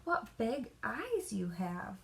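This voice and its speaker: A woman's voice: fairly high pitch, big pitch swings, takes it slowly, creaky, fairly bright tone, slight background noise, full character voice. A woman voicing an innocent, trusting little girl from a storybook, polite and earnest. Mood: wondering. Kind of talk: children's storybook character voice.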